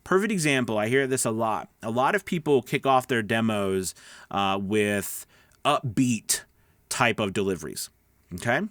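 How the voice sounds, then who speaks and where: A man's voice: on the low side, lively intonation, normal speed, a bit bright, no echo. A middle-aged man giving casual instructional talk as a voice-over coach, emphatic and conversational, often checking in with listeners. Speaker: voice-over coach and online host.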